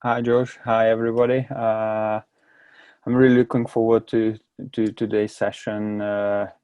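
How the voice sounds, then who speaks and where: A man's voice: fairly low pitch, flat delivery, measured pace, a little mellow, clear, resonant tone, dry recording, highs cut off. A man in his thirties from Hungary with a Hungarian accent, speaking English as a second language and telling his story as a podcast guest, often repeating words as he searches for them. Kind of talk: casual interview talk.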